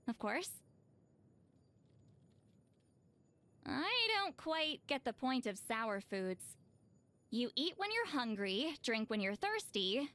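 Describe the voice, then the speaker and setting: High swoopy anime game shaman lady, kinda weary n dry, gets flustered and defensive easy. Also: a bit bright, exaggerated voice. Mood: casual, dry. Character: young-looking shaman woman, reclusive, flustered, defensive, secretly worrisome. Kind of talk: video game character voice lines.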